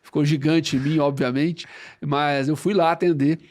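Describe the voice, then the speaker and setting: A man's voice: fairly high pitch, moderate pace. Young capixaba guy chatting on a podcast, loose and rambly, keeps tagging "né?". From Espírito Santo, Brazil. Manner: relaxed, rambling with fillers and "né?" tags. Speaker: guest, franchise founder. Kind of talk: casual interview storytelling.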